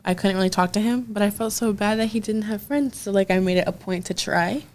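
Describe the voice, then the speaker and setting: A woman's voice: medium pitch, a little fast, darkish tone. A young woman interviewing casually, chatty and full of "like" fillers. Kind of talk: casual conversation. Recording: faint background noise.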